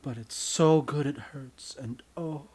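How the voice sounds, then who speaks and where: A man narrating a scripted story in the second person, deliberate and often dropping softer at the ends of phrases. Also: a little high, slightly rough. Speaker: writer and narrator.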